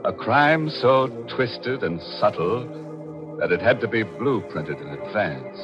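A very deep-voiced man hosts an old radio mystery with ominous, theatrical deliberation. Music plays clearly behind him, and the vintage broadcast sounds muffled. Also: lively intonation, takes it slowly. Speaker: host and announcer. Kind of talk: 1940s radio mystery introduction, scripted.

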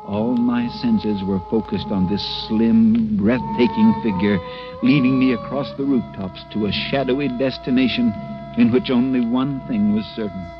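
Music swelling behind muffled old radio, actor as smitten everyman hero, lowish voice, earnest, dramatic. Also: steady tone, moderate pace, lightly acted. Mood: wondering, dramatic. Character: ordinary timid man turned smitten adventurer, earnest. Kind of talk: 1940s-50s radio drama acting and first-person narration.

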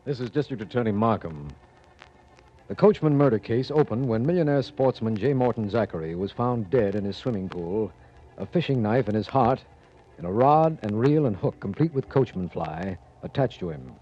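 An actor playing a stern, authoritative American district attorney in formal 1940s radio style, with a husky voice. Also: male voice, mid-range voice, expressive, normal speed, dark tone. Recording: dull 1940s radio recording.

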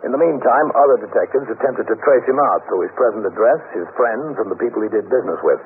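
A man's voice: medium pitch, expressive, fast talker, phone-quality sound, lightly acted. An American man playing a businesslike precinct captain in a 1950s radio police drama, matter-of-fact and clipped. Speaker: narrator and lead actor. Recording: thin, band-limited 1950s radio recording, noisy.

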